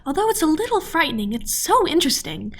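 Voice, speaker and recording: High-voiced, eager young woman scientist, acted. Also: very animated, fast talker. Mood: awed, fascinated. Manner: wondering, rambling, self-interrupting. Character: eager young female doctoral student scientist, friendly, fascinated. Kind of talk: radio play audio log monologue.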